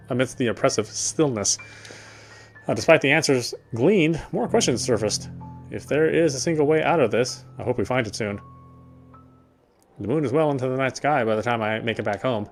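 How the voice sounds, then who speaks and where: A man narrating a story in the first person, reading in a steady, reflective way with small slips. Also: medium pitch.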